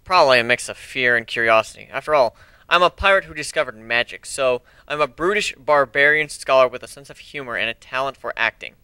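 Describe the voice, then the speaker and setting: A man narrating a fan fiction story as an amateur dramatic reading, with expressive delivery. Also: medium pitch, brisk, clean tone, no room sound.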